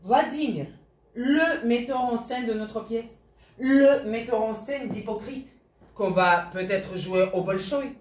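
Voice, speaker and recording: A woman's voice: on the high side, slow pace, echoey room, far from the mic, pitched above the performer's own voice. A woman playing an earnest, reflective actress in a stage play.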